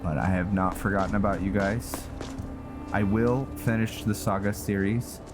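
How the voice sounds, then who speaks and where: Male gaming host, deep register, casual and musing roleplay narration with clear music underneath. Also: fairly even intonation, moderate pace. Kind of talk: casual roleplay gameplay commentary, sometimes reading in-game text aloud. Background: game music.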